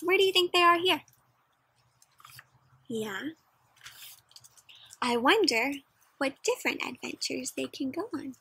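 A young woman doing an expressive, interactive picture-book read-aloud for children, putting questions to the listener. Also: sits high, slightly rough, dry recording. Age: young adult. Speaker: children's story reader. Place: outdoors in a backyard with play structure.

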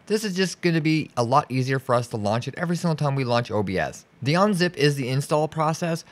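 Guy doing a chill step by step computer how-to, medium pitch, reassuring. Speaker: tutorial host. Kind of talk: step-by-step software tutorial narration. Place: indoors, voice-over for a screen recording.

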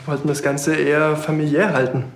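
An actor playing a polite, reserved newlywed husband with a plain manner. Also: male voice, a little high, little pitch movement, fairly quick, a little hiss or hum, lightly acted.

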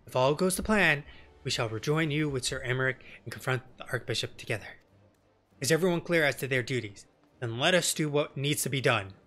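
A man voicing a stern woman knight commander in a fantasy game, speaking formally and with measured authority. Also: medium pitch, animated, bright, forceful tone. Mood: resolute, commanding. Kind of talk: game dialogue read in character.

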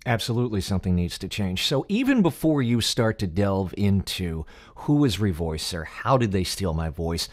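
A man's voice: low-pitched, big pitch swings, moderate pace, hardly any pauses. A man, interviewing conversationally as host into a close microphone. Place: home office. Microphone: boom-arm microphone with headphones.

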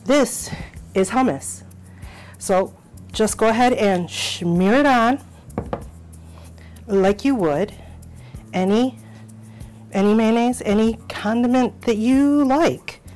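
A middle-aged woman demonstrating recipes in a small home kitchen, talking into a lapel mic. She speaks slowly and encourages the viewer through each step, with light music underneath. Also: fairly high pitch, darkish tone. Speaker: cooking show host.

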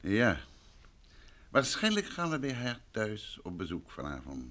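An actor playing a composed, probing detective husband in an old Dutch radio drama, speaking with polite, measured questions. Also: male voice, on the low side, huge pitch swings, fairly bright tone, full character voice. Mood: relaxed.

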